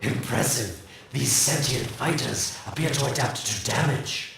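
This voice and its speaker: A gleeful, sly scientist drawls his mocking taunts at a slow pace. His voice has a gravelly edge and rings with heavy echo, as if from a distance. Faint clicks and noise sit behind him. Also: medium pitch, animated, a bit bright. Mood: sardonic. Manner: smug, sarcastic, drawling taunts.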